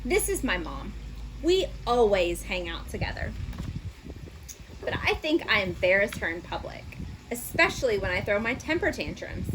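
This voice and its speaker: A woman's voice: mid-range voice, very animated, normal speed, noisy background, natural voice. A woman voicing a stubborn, huffy young donkey in a children's storybook, complaining in an exaggerated, indignant way. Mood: defensive. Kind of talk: children's storybook read-aloud.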